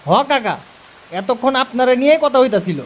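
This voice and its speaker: A man's voice: very high-pitched, lively intonation, a little fast, voice pushed up. A chatty young village lad done by a man talks. He is a teasing, friendly audio-story sidekick. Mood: chatty, casual.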